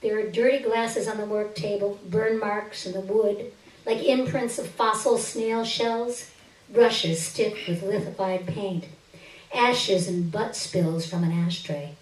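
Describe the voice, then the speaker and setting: A woman's voice: mid-range voice, fairly even intonation, slow pace, darkish tone. Echoey, distant woman reading a story aloud. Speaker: story reader. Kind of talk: dramatic literary reading aloud. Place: live reading at a stage event, indoors. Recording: roomy echo, distant microphone.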